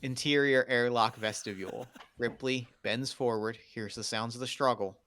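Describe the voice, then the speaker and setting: A man's voice: mid-range voice, steady tone, normal speed, fairly bright tone, slight background noise. A man narrating screenplay action lines at a table read in short scripted statements.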